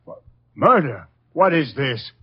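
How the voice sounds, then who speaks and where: An actor playing a cagey, brash small-time swindler in a 1950s radio crime drama, blunt and slangy. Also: male voice, on the high side, sing-song, takes it slowly, breathy edge, heavily acted. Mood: rattled. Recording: muffled vintage 1950s radio recording.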